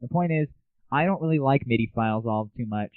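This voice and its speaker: A man's voice: medium pitch, fairly quick, dry recording. A man hosting a comedy audio show in a casual, crude, sarcastic rant. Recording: dry, muffled narrow-band audio.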